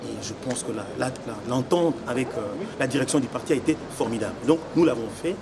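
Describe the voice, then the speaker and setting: A young man from Congo who lives in Belgium, giving deliberate, explanatory interview answers as an election candidate. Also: mid-range voice, vocal fry. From Congo, living in Belgium since 1984. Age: young adult. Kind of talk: semi-formal interview answers. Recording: noisy location with background ambience.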